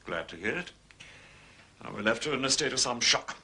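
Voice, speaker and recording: An actor playing a stern, authoritative chief constable in a period mystery drama, brusque and official. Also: male voice, mid-range voice, lively intonation, moderate pace, pushed and bright, husky, heavily acted.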